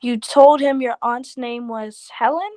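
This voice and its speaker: A woman's voice: a little high, steady tone, unhurried, warm tone, no echo, lightly acted. A woman acting a blunt, practical friend of thirty-three in a 1940s style radio play, incredulous and matter-of-fact. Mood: practical, resigned.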